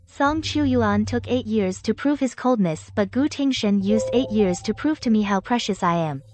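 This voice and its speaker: A woman's voice: a little high, animated, normal speed, natural voice. A young woman playing a proud, wounded but resolute heiress in a dubbed melodrama. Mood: moved, wistful.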